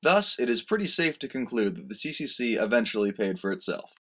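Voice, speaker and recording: Low-pitched man narrating a documentary. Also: sing-song, brisk, a bit bright. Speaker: student narrator.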